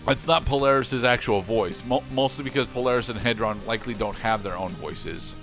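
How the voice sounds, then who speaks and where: A man co-commentating on a game in a measured, hesitant manner, often pausing to find his words. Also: medium pitch, a bit bright, faint music behind. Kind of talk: casual game commentary chat.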